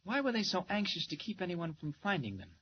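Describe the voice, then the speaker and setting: A man's voice: sits high, huge pitch swings, fast. An earnest reporter voice from a vintage radio serial, swinging expressively as he probes with concern. The recording is dull and faintly noisy. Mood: concerned, probing. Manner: earnest, gently probing. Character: earnest, concerned newspaper reporter, an adult man, secretly a superhero. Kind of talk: 1940s radio drama acting.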